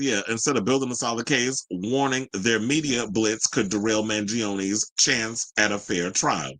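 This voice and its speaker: A man's voice: lowish voice, a bit bright, low-quality recording. An American man hosting a news and gossip show, reading stories and adding blunt, profane, sassy asides in colloquial speech.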